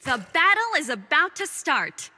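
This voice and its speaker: A woman voicing a female game announcer who calls out match events in calm, clipped lines. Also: high-pitched, a little slow, exaggerated voice. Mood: announcing. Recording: game audio with loud music.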